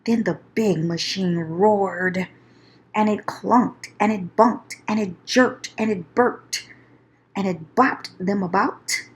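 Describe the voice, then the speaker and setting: A woman reading a rhyming children's story aloud as narrator, in a theatrical, expressive storytelling manner. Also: medium pitch, takes it slowly.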